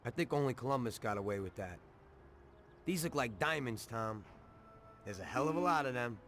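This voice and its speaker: An actor playing a greedy, chummy small-time American mobster in a 1930s crime game, with slangy, cajoling streetwise talk. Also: male voice, medium pitch, lively intonation, moderate pace, fairly bright tone, soft background music. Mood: tempted, coaxing. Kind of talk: video game cutscene acting.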